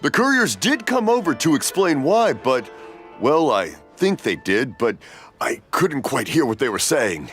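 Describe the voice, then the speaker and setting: An actor playing a cheerful, hard-of-hearing old fireworks maker who rambles into stories and mishears. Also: male voice, mid-range voice, big pitch swings, unhurried. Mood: mishearing. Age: older. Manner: rambling storyteller, mishears, laughs warmly. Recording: game audio with music behind.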